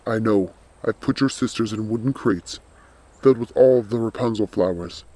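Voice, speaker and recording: A man playing a loyal undead skeletal servant in a storybook, speaking in a grave, earnest, measured and protective way. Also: lowish voice, dark tone, natural voice. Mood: grave, urgent.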